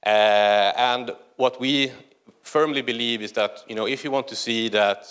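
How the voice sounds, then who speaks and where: A man with a Swedish accent addresses a big conference hall through a speech microphone. His voice sits at an ordinary middle pitch, and his delivery stays conversational, with plenty of "uh" pauses between phrases. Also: fairly even intonation, a bit bright, smooth. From northern Sweden. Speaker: conference speaker. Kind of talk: conference presentation. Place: large conference hall stage.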